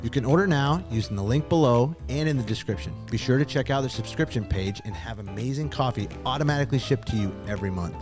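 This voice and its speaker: A man's voice: medium pitch, fast talker, few pauses, over music. A man hosting a podcast from a small studio, reading an upbeat introduction and then chatting casually and laughing easily with his guest. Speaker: podcast host. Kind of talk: read sponsor intro, then casual chat.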